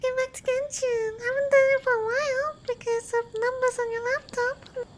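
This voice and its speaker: A woman's voice: very high-pitched, fairly even intonation, normal speed. A woman streaming a game, chatting casually in a gushing, sentimental way. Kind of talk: casual livestream chat while gaming. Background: faint music.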